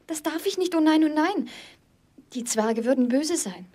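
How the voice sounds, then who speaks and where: An innocent young princess answers timidly in a fairy-tale audio play. Her voice sits high and her words come quickly and nervously. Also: expressive, warm tone. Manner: timid, hurried.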